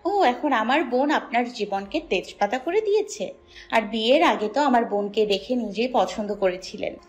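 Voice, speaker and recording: A woman's voice: on the high side, moderate pace, close to an everyday voice. A woman voicing a spirited young daughter who mocks and scolds her family in an audio story. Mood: mocking. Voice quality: mocking, lively. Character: spirited young unmarried daughter, quick-witted. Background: soft music.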